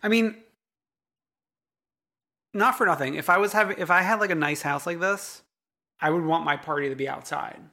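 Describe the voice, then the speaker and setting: A man with a somewhat high-pitched voice trades wry jokes in a casual podcast recap. He backs up his co-host with quick "yeah"s and keeps his delivery light and teasing. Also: gravelly, sharp tone. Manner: wry, joking asides, quick agreeing "yeah"s. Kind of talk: casual reality TV recap chat.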